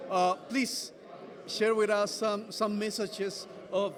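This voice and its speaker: A man hosting a casual interview, speaking haltingly, often repeating words, with non-native phrasing. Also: high-pitched, level tone, a crowd in the background. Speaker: interviewer host.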